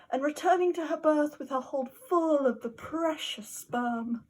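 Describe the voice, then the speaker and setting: A woman giving an amateur dramatic reading of a classic novel, expressive and emphatic, drawing out some of her words. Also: high voice, poor audio. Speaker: amateur reader.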